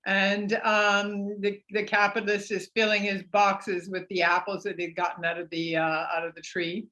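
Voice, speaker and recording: A woman artist describes an image over a video call in a halting way. Her voice is bright and tense, and it barely moves in pitch. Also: little pitch movement.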